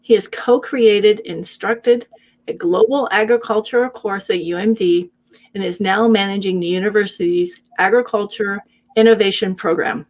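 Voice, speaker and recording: A woman's voice: medium pitch, steady tone, normal speed, darkish tone. A woman hosting a live show, reading out a guest introduction with small slips and self-corrections. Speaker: host.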